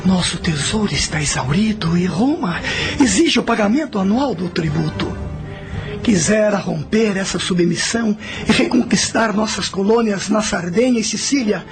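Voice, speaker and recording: A man's voice: high-pitched, expressive, normal speed. A man playing a proud, ambitious Carthaginian general in a radio drama, speaking in resolute declamation. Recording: loud music behind the voice.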